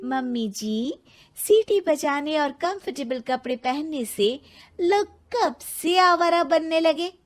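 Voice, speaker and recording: A woman voicing a cheeky, carefree, defiant college girl in an animated story, talking back casually. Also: high-pitched, takes it slowly, soft background music, voice pushed up. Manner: casual, sassy back-talk. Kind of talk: animated moral story acting.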